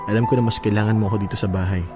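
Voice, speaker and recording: A man playing a devoted, affectionate young husband in a Filipino radio drama, speaking in a gentle, reassuring way. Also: lowish voice, steady tone, very fast, natural voice. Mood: playful, caring. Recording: faint music behind the voice.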